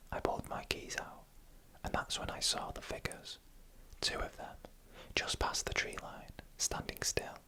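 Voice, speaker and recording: A narrator tells scary stories in a breathy ASMR whisper with no voice in it. The sound is bright and crisp-edged. The delivery is hushed and deliberate, taken one phrase at a time. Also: rough recording. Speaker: narrator reading online stories.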